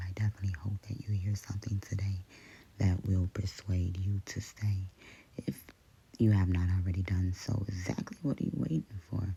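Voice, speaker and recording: A woman with a deep, raspy voice chatting to her viewers and telling a story in a casual, rambling way, with many ums and some half-whispered asides. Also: mellow, slight background noise, low-quality recording. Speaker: channel host.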